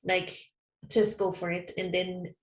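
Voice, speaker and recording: A woman whose first language is German, speaking English as an online counsellor in a casual interview, soft-spoken and a little hesitant with frequent small laughs, heard over a video call. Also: on the low side, level tone, measured pace. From Austria. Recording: roomy echo.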